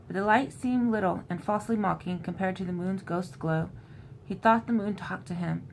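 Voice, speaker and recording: A woman host gives an informal book recap, moving between loose retelling and reading aloud. Her voice sits at an ordinary mid pitch for a woman. There is noticeable ambient noise behind her. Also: normal speed, rough recording. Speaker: host recapping a horror novel. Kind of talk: casual book recap with passages read aloud.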